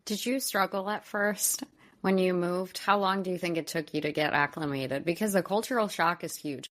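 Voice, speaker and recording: A woman's voice: lowish voice, normal speed. A woman hosting a podcast interview, asking questions and offering compliments. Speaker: podcast host. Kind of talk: casual interview.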